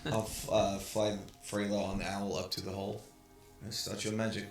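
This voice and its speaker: A man's voice: on the low side, level tone, moderate pace. A man playing in a tabletop game, laying out his plans in a laid-back way with fillers. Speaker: player. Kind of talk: casual tabletop play. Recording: echoey room, faint music.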